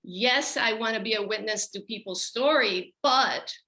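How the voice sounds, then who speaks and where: A woman with a bright, forward voice. She speaks as a guest, reflecting on personal experience in thoughtful, halting phrases. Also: a little high. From Puerto Rico. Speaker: panel guest, school counselor.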